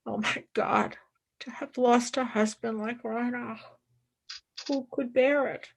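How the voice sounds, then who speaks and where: A young wartime nurse and philosopher played by a woman speaks in a fairly high voice. She takes her time, halting and stressing her words with earnest, grieving gravity, as in a serious radio play. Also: fairly even intonation, creaky, breathy edge, heavily acted. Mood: anguished, reflective. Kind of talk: dramatic radio play acting.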